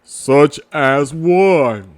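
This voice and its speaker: A man's voice: a little high, expressive, very slow. A man voicing a gloomy, resigned storybook donkey with a glum, drawling delivery. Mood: doubtful.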